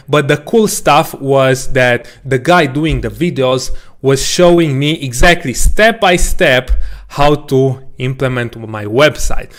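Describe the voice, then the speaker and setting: Relaxed Romanian-born man telling a story. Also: medium pitch. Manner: relaxed, self-deprecating, frequent restarts and small laughs. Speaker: coding educator. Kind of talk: casual storytelling to camera.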